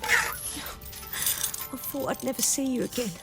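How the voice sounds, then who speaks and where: A woman's voice: high voice, slow, gravelly, sharp tone, full character voice. An actress playing a tender, weary female pirate in disguise, speaking intimately and emotionally in an audio drama. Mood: relieved, tearful. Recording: music playing behind voice.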